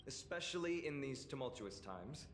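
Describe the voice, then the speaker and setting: Actor as an earnest young hero, sincere, lively pitch, echoey with faint music. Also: male voice, normal speed, natural voice. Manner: warm-hearted, sincere, reflective. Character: earnest young male hero, friendly and thoughtful.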